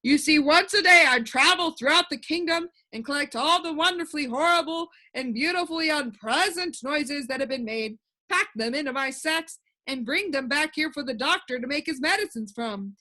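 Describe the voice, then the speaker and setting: A woman voicing a loud, weepy and gleeful shapeless male noise creature in a storybook, with bellowed, drawn-out exclamations. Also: high voice, expressive, voice pushed up. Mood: eager, appreciative.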